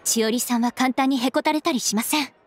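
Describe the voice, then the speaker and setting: A woman playing a polite, earnest young female assistant in a Japanese game dub, with a soft, breathy voice and courteous speech. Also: sits high, fairly quick, a little hiss or hum, natural voice. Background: faint background music.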